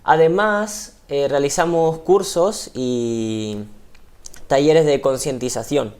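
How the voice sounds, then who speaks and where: A man's voice: a little high, slow, darkish tone. A man with an Argentine accent, a ship crew member being interviewed on TV, explaining at length with frequent fillers. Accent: Argentine Spanish. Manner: hesitant, explanatory, many fillers.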